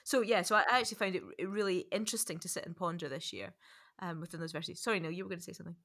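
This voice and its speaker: A woman's voice: fairly low pitch, racing, fairly bright tone. A woman co-hosting a podcast, reflecting casually and emphatically, repeating words as she thinks her way through. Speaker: podcast co-host.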